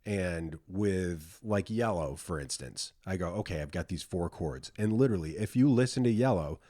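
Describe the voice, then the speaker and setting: A man with a lowish voice speaks as a guest in a casual interview. He explains things in an easygoing, matter-of-fact manner. The recording is close and very dry. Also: lively intonation, normal speed, dark tone, no room sound. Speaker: podcast guest, musician. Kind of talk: casual chat.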